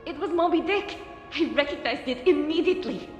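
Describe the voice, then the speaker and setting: An actress playing a wistful girl from France who tells of her lost friend in a measured, literary way, for an animated game. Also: sits high, dull audio, soft background music, lightly acted. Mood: stirred. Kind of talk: dramatic storytelling in an animated game cutscene.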